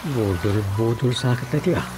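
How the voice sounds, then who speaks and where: An actor with an Ilocano Filipino accent playing an excitable, suspicious, grumbling village man in a radio drama comedy. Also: fairly low pitch, normal speed, over music, lightly acted. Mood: wary, joking.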